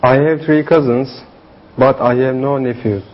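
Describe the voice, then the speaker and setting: A man's voice: medium pitch, steady tone, unhurried, natural voice. A man playing a young English student from Turkey, speaking careful simple sentences in a scripted classroom dialogue. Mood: easygoing, careful. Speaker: language student. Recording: slightly dull lo-fi audio.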